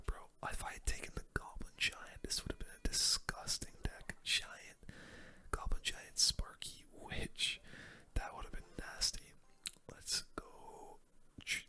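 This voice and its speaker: A person giving casual, slangy ASMR gaming commentary in a soft, unvoiced whisper. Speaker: gaming video host.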